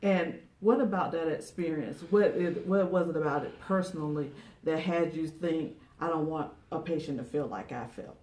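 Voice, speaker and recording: Woman host, lowish voice, upbeat and encouraging, distant roomy sound. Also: normal speed, few pauses, a little mellow. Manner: upbeat introductions, short encouraging backchannels. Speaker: channel host, interviewer. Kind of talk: video interview hosting.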